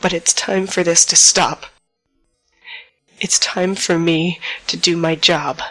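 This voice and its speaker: A woman playing a frightened but defiant starship captain in an audio drama, speaking in a halting, emotional monologue. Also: lowish voice, fairly even intonation, fairly bright tone, poor audio. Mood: shaky resolve. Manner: halting, emotional, addressing an unseen foe. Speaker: voice actor in an audio drama podcast. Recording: music behind the voice.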